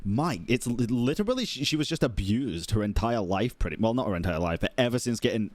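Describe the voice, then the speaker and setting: A man's voice: medium pitch, lively intonation, brisk, dry recording. A man giving emphatic, sweary live reaction commentary as a game streamer.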